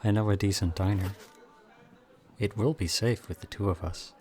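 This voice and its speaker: A man's voice: lowish voice, steady tone, moderate pace, darkish tone, close to an everyday voice. A man playing an odd, charming young student with a creepy intensity, speaking casually and hesitantly to the listener in an audio roleplay. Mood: friendly, coaxing. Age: young adult.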